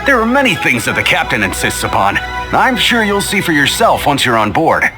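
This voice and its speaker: A man's voice: a little high, wildly swooping pitch, a little fast, music in the background. A man playing a friendly, dutiful young sailor in a video game, with an eager, polite manner. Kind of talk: video game character acting.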